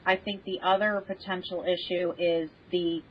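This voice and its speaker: Lower-voiced woman, muffled lo-fi audio. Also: level tone, moderate pace. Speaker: expert podcast guest. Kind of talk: casual explanatory discussion. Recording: dull lo-fi remote audio.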